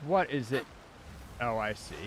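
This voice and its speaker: Skeptical male game streamer, mid-pitched. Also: lively intonation, unhurried, a little hiss or hum. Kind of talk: casual reaction commentary over a video game. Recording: game music behind the voice.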